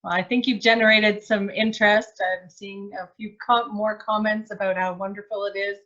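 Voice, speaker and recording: A woman's voice: mid-range voice, level tone, moderate pace, a bit bright. A woman host running an online launch, speaking in an upbeat, effusive way. Her voice comes through a slightly dull video-call line. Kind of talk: live virtual launch hosting.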